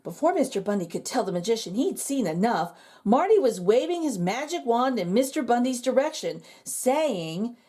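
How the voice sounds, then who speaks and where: High, animated woman reading a picture book. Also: normal speed, breathy edge. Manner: expressive and animated. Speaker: storyteller.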